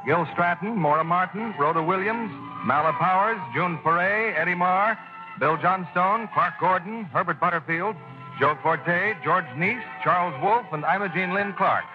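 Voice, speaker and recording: A man announcing the cast and next week's program in a formal, measured 1940s radio style. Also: sits high. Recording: dull lo-fi 1940s broadcast with music.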